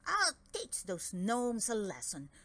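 A woman's voice: on the high side, huge pitch swings, slow, bright, forceful tone, exaggerated voice. Woman as a bossy, scolding little gnome villain. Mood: vengeful. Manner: commanding, scolding, growling.

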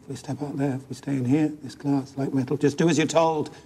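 A man reading a dramatic poem in clipped, intense fragments, his delivery often hushed. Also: medium pitch, fairly even intonation, fast talker, a little hiss or hum. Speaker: reader. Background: music.

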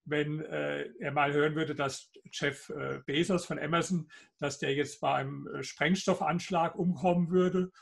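A man's voice: fairly high pitch, steady tone, a little slow, creaky, hardly any pauses, slightly rough. An older man with a Hessian German accent, speaking as a guest on a video call interview with many fillers and an argumentative manner. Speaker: guest author. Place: home office with bookshelves, indoors.

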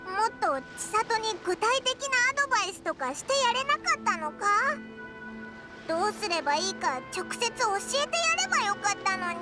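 An actress voicing a tiny childlike fairy companion in an anime game, blunt, chatty and chirpy. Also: female voice, very high-pitched, heavily acted. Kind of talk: anime game voice acting, blunt casual speech.

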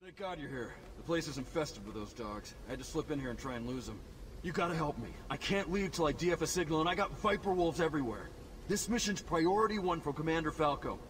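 An actor playing a young, spooked soldier in a video game, anxious, pleading and stammering. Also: male voice, on the high side, animated, fast talker, in a large hall, slight background noise, natural voice, poor audio. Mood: panicked. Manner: nervous, stammering.

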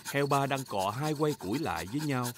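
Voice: medium pitch, expressive, brisk, background noise. A narrator telling a children's cartoon in a plain storybook manner. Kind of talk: scripted narration.

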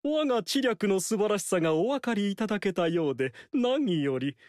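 An actor playing a vain male military strategist in a game, boastful and theatrical, with dialogue that swings between loud pride and soft slyness. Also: sits high, very animated, normal speed, no echo, clean studio sound. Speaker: game character voice. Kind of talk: Japanese game dialogue acting. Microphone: dry studio mic.

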